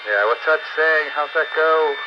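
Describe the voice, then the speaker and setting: A man's voice: fairly high pitch, normal speed, lo-fi sound. A man of fifty-nine chatting casually in a plain-spoken, folksy way, as an amateur radio operator. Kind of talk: casual chat. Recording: noisy band-limited radio transmission.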